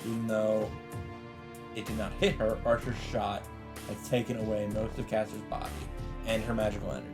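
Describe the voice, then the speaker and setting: A man's voice: lowish voice, brisk. Man narrating dramatically over game music. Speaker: let's play host reading a visual novel aloud. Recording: loud game music behind voice. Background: visual novel soundtrack.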